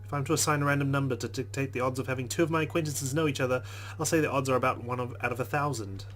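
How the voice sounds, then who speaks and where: A man reading a visual novel aloud as a let's play host, in a plain, measured voice. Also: mid-range voice, fairly even intonation, a bit bright, a little hiss or hum.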